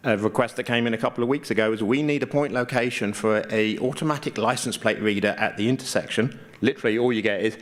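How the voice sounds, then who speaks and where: A man's voice: medium pitch, fast talker, few pauses. A man giving an informal conference presentation, explaining things conversationally with dry, understated humor. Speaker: conference speaker, GIS mapping consultant.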